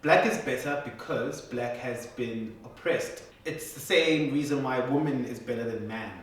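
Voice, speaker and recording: A man in his twenties from South Africa, a musician, giving measured and reflective answers in a documentary interview. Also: mid-range voice, heavy reverb, recorded from a distance, slight background noise. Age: 20s. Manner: measured, reflective, argues calmly.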